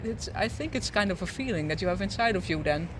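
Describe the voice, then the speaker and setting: A man's voice: high-pitched, expressive, fast talker, fairly bright tone. A man chatting casually as an interviewer, in a conversational and agreeable way. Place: outdoors in a city. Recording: noisy outdoor urban street, traffic.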